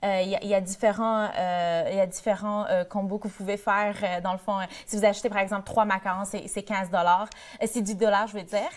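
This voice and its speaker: A woman's voice: mid-range voice, fairly even intonation, fast, few pauses. A young woman from Morocco, a board treasurer, answering questions in a TV interview, with many fillers and restarts. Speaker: guest, board treasurer of a community association. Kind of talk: TV interview, casual conversation.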